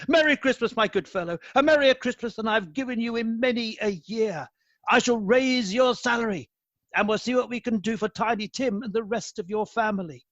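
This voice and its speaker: A man playing a reformed elderly miser in a radio play, an effusive and excitable old gentleman with a husky voice. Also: very high-pitched, no echo, full character voice. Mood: jubilant. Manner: effusive, chuckling, stammering with excitement.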